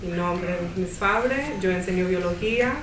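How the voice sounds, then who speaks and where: A woman's voice: on the low side, normal speed. A woman from the United States, a biology teacher, introducing herself to an assembly in a noisy, echoey hall. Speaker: high school biology teacher. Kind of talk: bilingual self-introduction. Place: school assembly stage. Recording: echoey hall, distant mic, clear noise.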